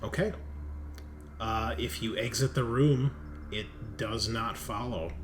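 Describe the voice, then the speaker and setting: A man acts as game master for a tabletop roleplaying session. He speaks very slowly at a middling pitch, describing things in a halting, improvised way. There is clear noise behind his voice on the online call. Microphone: online group call.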